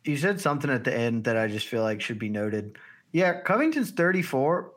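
A man co-hosting a casual fight chat, talking quickly and easily. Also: medium pitch, very animated, a bit bright. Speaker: podcast co-host.

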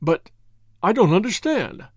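A man's voice: sits high, very animated, a little slow, darkish tone, husky, dry recording, studio quality, voice pushed up, heavily acted. A man voicing a haggard, bewildered English nobleman in an audiobook, agitated and spluttering with emphasis. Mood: astonished.

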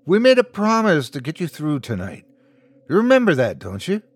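A man's voice: a little high, wildly swooping pitch, normal speed, no room sound, pitched above the performer's own voice. A man voicing an insistent male friend who calls out firm, reproachful encouragement in an audiobook story. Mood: firm, scolding.

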